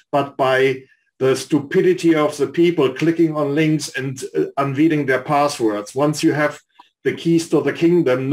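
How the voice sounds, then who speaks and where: A man in his fifties speaks over a webcam as a panelist, blunt and skeptical, explaining at length why a claim does not hold. His pitch is ordinary for a man, neither high nor low. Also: a little mellow, low-quality recording. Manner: direct, skeptical, lecturing. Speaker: investor panelist. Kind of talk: critical feedback on a pitch. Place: home office on video call. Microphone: video call webcam.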